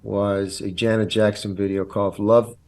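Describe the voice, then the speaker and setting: A man's voice: fairly low pitch, flat delivery, normal speed, a little mellow. A man in his fifties whose first language is Italian, telling stories of his career earnestly as a podcast guest, with many ums and restarts. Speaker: guest actor. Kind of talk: casual interview chat.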